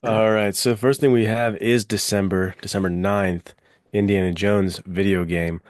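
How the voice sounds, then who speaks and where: Young male co-host, very low voice, dry close mic, upbeat and casual. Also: moderate pace, no room sound. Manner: upbeat, excited, casual fillers. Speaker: podcast co-host. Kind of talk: casual chat.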